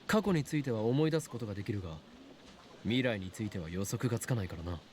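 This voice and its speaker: Rapid-fire young mad scientist played by a man. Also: fairly low pitch, lively intonation, a little mellow, a little hiss or hum, close to an everyday voice. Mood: earnest lecturing. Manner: theatrical, emphatic, lecturing. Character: young male mad-scientist student explaining a theory. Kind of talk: Japanese visual novel voice acting.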